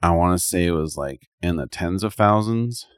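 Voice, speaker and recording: A man's voice: deep voice, animated, moderate pace, dry recording, clean studio sound. A man in a casual, rambling chat about video games as a podcast co-host, speaking colloquially with lots of "like".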